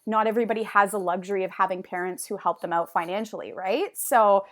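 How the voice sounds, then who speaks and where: A woman's voice: mid-range voice, quick pace, a bit bright. Chatty woman, French native speaker. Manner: bubbly, rambling, lots of "like". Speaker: podcast guest. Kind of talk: casual interview chat.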